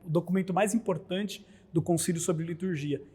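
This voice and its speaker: A Brazilian priest lectures earnestly, posing rhetorical questions and answering them. His voice sits high with a husky, mellow edge, and a long hall reverb trails behind it. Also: fairly quick. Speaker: priest, liturgy scholar. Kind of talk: explanatory religious talk.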